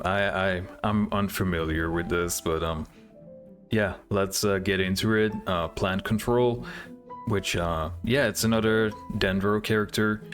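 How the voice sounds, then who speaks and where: A man's voice: sits low, a bit bright. A man hosting a reaction video, commenting casually and hesitantly with music behind him. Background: music from the game trailers.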